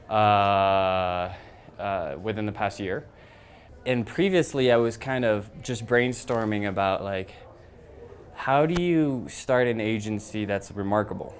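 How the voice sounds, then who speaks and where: A young man who founded a design agency, telling a rambling, animated story to a crowd on a handheld microphone in an event room. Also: lowish voice. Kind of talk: casual presentation to an audience.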